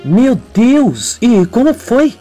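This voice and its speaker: Man doing a female nanny, kindly, concerned, dramatic, high pitch, radio soap. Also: very animated, extremely slow, warm tone, faint music behind, full character voice. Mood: shocked. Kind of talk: amateur radio soap opera acting.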